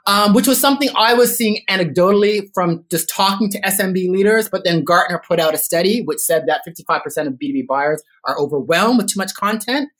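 A middle-aged Canadian man being interviewed on a podcast, talking in a measured way with frequent ums and restarts. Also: sits high, a bit bright, low-quality recording. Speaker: podcast guest, business consultant. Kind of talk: casual interview chat.

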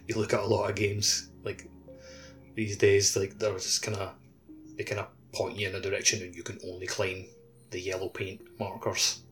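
A man's voice: sits low, little pitch movement, normal speed, fairly bright tone. A man co-hosting a gaming podcast, talking casually in an informal, hedging way. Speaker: podcast co-host. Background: background music.

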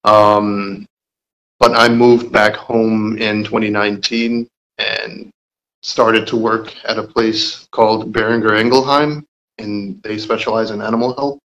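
A young man from Georgia speaks slowly and deliberately, leaving pauses as he recounts his path to his lab job. His voice sits somewhat low for a man and never rushes. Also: flat delivery, a bit bright, poor audio. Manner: unhurried, measured, with pauses and "um"s. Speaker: lab technologist, guest. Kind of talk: casual interview answers.

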